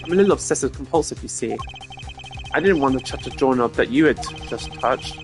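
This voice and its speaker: A man's voice: mid-range voice, expressive, brisk, close to an everyday voice. A man voicing a smug, slippery prosecutor in a video game, glib and taunting as a suspect. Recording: voice over game music.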